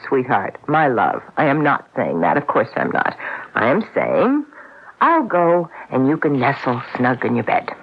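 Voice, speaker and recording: A woman's voice: a bass voice, very animated, a little slow, vocal fry, husky, exaggerated voice. An actress playing a witty, insecure wife in a radio drama, teasing and dramatic in her delivery. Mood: wry, coaxing. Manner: wry, dramatic, affectionate teasing. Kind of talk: old-time radio drama acting. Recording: muffled vintage radio drama.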